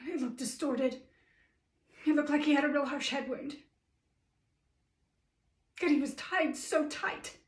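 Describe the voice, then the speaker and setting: A woman performing a theatre monologue as a shaken, earnest female police officer, plain-spoken and halting. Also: sits high. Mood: distressed, careful. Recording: roomy echo, distant microphone.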